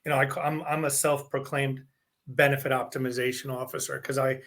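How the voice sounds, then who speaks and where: Male host, mid-pitched, casual and jokey, restarts his sentences. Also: level tone, brisk, fairly bright tone. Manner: chatty, joking, repeats words when starting sentences. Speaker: podcast host, benefits advisor. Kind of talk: casual interview chat.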